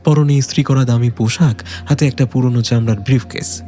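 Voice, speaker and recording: A man's voice: mid-range voice, steady tone, brisk, dark tone, soft background music. A man narrating a detective audio story from a script in a dramatic style.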